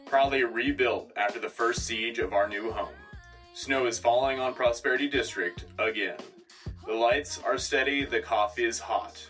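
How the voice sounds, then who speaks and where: A man acting as a formal, patriotic anchorman in a sci-fi radio drama. His voice is bright and forceful, slightly off the mic over music. He delivers scripted news in a measured, dryly witty way. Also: mid-range voice, far from the mic, close to an everyday voice. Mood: solemn, proud. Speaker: radio host.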